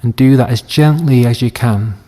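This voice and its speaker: A man leading a guided meditation as a teacher, speaking gently and soothingly with long unhurried pauses. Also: medium pitch. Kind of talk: guided body scan meditation.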